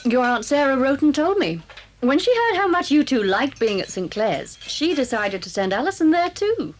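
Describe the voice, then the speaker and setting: A woman playing a calm, kindly mother of schoolgirls in a children's audio drama. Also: high-pitched, moderate pace, a little hiss or hum, low-quality recording. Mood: kindly, reassuring.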